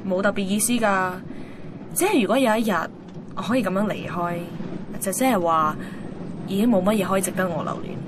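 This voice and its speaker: A woman's voice: mid-range voice, a little fast, very noisy, close to an everyday voice. An actress playing a teasing, restless and wistful young woman with a Hong Kong accent in a naturalistic radio drama.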